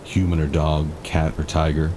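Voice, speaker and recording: A man acting as a brooding narrator, telling a haunted childhood memory in dramatic, measured, scripted style. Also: extremely low pitch, monotone, background noise. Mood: somber, haunted. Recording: loud music behind.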